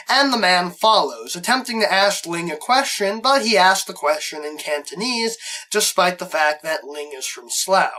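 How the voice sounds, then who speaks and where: A man's voice: high voice, sharp tone, rough recording. A man hosting a scripted review, narrating with a fan's enthusiasm and wry asides. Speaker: host, reviewer.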